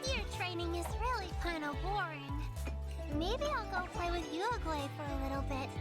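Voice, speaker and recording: A woman's voice: very high voice, animated, moderate pace. A woman voicing a cheerful, carefree young mountain girl in a video game, with a breezy, childlike manner. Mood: bored. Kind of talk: video game character voice acting. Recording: loud music behind the voice.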